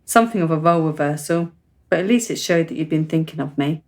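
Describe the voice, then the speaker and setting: A woman acts a precocious teenager in a radio play. The girl is self-possessed and articulate, stating things plainly and calmly, like someone older than her years. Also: low voice, fast. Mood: matter-of-fact. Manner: composed, articulate, matter-of-fact.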